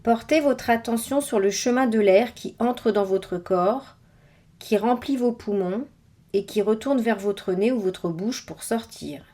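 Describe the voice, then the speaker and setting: A woman guiding a body scan meditation with measured, scripted instructions and pauses between phrases. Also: a little high, dark tone. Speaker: meditation guide.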